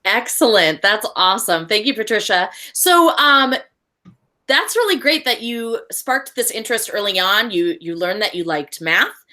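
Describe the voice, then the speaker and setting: Lively upbeat woman hosting a webinar. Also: medium pitch, measured pace. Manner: upbeat, encouraging. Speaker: host.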